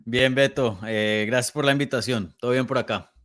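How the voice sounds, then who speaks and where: Colombian man, early thirties, podcast guest. Clean, resonant voice, dry close sound, casual with a hesitant, pausing delivery. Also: mid-range voice, steady tone, a bit bright, no echo. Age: early 30s. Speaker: podcast guest, MMA journalist. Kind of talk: casual interview conversation.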